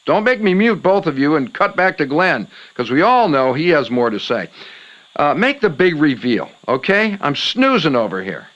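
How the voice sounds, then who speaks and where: A man playing a gullible, theatrical late-night paranormal radio host in a comedic audio drama, quick to indignation. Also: a little high, very animated, a bit bright, dull audio. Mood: scolding, impatient.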